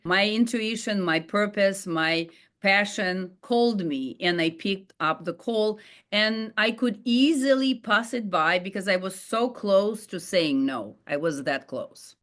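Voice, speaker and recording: A middle-aged woman with a Russian accent speaks in a somewhat low voice. She tells her own story as a life coach, earnest and heartfelt, often pausing on "uh" and restarting her sentences. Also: fairly bright tone. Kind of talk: personal storytelling monologue.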